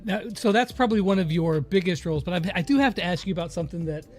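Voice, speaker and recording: A man's voice: high-pitched, animated, fast. A man interviewing a guest in a casual, chatty style. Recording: music playing behind the voice.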